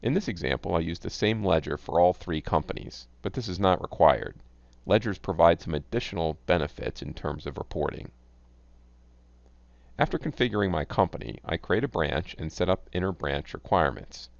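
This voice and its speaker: A man giving scripted, instructional narration as a software tutorial presenter, reading in a measured, enunciated way. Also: low-pitched, expressive, creaky, dry recording. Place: close, dry voice-over recording. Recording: dull, lo-fi close voice-over.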